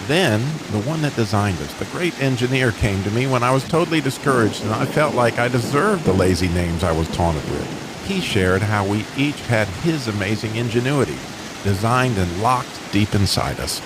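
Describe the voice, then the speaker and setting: A sincere underdog starter motor from a radio play , voiced by a man. He speaks low and reflectively, with animated intonation and water noise behind him. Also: close to an everyday voice. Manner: earnest, reflective storytelling. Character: humble, grateful male starter motor, sincere underdog hero.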